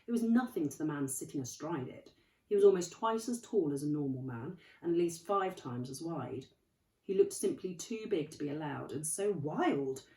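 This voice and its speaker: A woman, a primary school teacher, reading a storybook aloud in an expressive manner. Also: on the low side, recorded from a distance. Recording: roomy, from off the mic.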